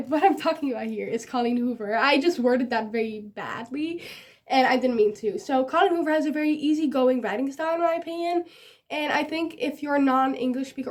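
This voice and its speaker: A young woman from the Netherlands with a Dutch accent, a native Dutch speaker chatting casually and giggly in a rambling, self-deprecating way as a book vlogger. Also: sits high. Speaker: book vlogger giving recommendations. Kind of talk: casual chatty vlog to camera.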